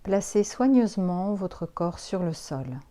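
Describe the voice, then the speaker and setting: Slow, mellow woman guiding relaxation. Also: lowish voice. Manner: calm, unhurried, soothing instructions with pauses. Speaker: yoga nidra instructor. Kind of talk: guided relaxation meditation.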